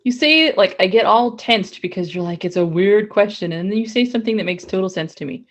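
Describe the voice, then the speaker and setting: A woman's voice: medium pitch, a little fast. A woman co-hosting a casual podcast, chatty and rambling with frequent laughs. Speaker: podcast co-host. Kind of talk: casual banter.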